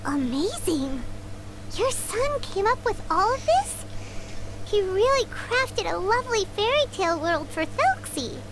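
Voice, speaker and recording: A woman playing a tiny, excitable, chatty childlike fairy companion in a video game, with a squeaky voice. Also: unhurried, background noise, full character voice. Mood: curious, amazed. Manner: exclaiming, third-person self-reference. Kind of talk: video game character dialogue.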